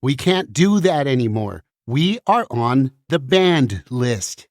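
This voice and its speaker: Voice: medium pitch, sing-song, slow pace, dry recording, clean studio sound. A performer playing an alarmed, bitter banned book in a musical puppet show, with dramatic, urgent, declamatory delivery. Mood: resigned, bitter. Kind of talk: theatrical musical puppet show acting with singing.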